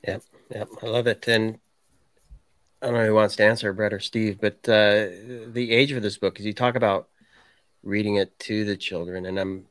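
Male co-host, low voice, easygoing rambling interview style, dry sound. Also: fairly even intonation, moderate pace, fairly bright tone, dry recording. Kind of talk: casual interview chat.

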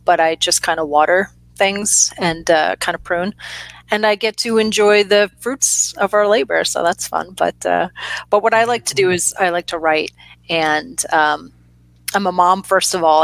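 A woman's voice: on the low side, steady tone, moderate pace, fairly bright tone, dry recording, poor audio. A woman in her thirties, an author, answering interview questions from her home library over a video call in an easygoing, friendly way. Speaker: author guest.

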